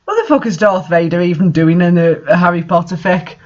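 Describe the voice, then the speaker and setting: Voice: medium pitch, animated, brisk, darkish tone. A person casually commenting on fan fiction they read aloud, exasperated, incredulous and swearing freely. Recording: dull lo-fi microphone sound.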